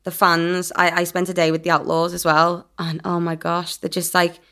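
A woman's voice: lowish voice, monotone, moderate pace, fairly bright tone. A woman co-hosting a podcast in casual chat. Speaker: podcast co-host.

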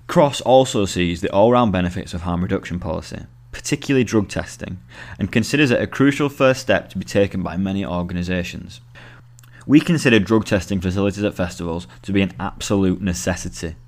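A man's voice: sits low, a little fast. A man narrating a scripted long-form article as the journalist who wrote it. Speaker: journalist reading own article.

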